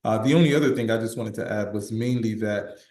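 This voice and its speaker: Low-voiced man on a roomy call. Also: fast talker, a little mellow. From United States. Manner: hesitant, many fillers. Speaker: panelist, business chamber leader. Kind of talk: panel discussion.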